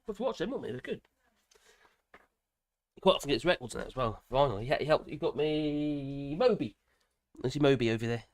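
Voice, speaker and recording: An older man with grandchildren, hosting a casual live stream, hoarse from a cold and chatting in a rambling way with many fillers and restarts. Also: mid-range voice, animated, brisk, long pauses. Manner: rambling, chatty, with frequent fillers and self-corrections.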